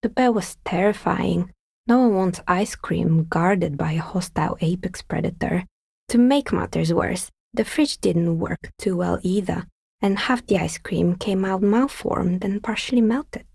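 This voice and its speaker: Husky, wry woman dicemaker. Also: fairly low pitch, measured pace, darkish tone, no echo, studio quality, full character voice. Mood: sardonic. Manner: wry, understated, matter-of-fact. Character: dry, sceptical woman novelty dicemaker. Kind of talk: video game character dialogue.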